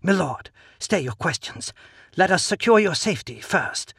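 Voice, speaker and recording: A stern female sergeant, played by a man who gives clipped, commanding answers. The voice is slightly raised and husky, with a gravelly edge. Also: high-pitched, very animated, moderate pace, fairly bright tone, no room sound, studio quality, voice pushed up, full character voice. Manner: curt, authoritative.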